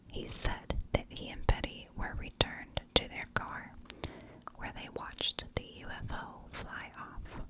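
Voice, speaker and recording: Slow, fully whispering woman. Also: rough recording. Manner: hushed, deliberate narration. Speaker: ASMR true crime host. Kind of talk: ASMR whispered storytelling.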